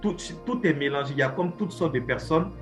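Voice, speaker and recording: A man from Côte d'Ivoire with an Ivorian French accent, speaking in a relaxed, filler-heavy way about himself. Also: fairly high pitch, fast, music in the background. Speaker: interviewee, course instructor. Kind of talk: casual personal testimony.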